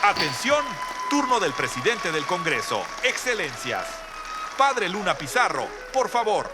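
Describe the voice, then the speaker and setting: An actor playing a formal congress announcer calling an assembly to order, proclaiming ceremoniously in a historical audio drama. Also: male voice, high voice, big pitch swings, measured pace. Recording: loud music and crowd noise behind.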